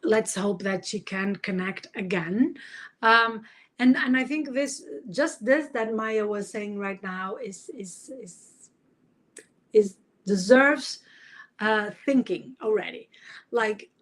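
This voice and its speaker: A woman host speaks slowly, in a middle-pitched voice, over a video call. She sounds earnest and keeps searching for her words as she talks. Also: a bit bright. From Portugal. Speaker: podcast host. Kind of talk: live podcast conversation.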